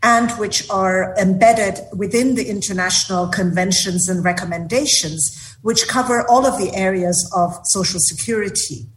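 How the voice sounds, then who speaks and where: A woman department director giving a measured formal introduction at a meeting, with frequent fillers. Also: mid-range voice, rough recording.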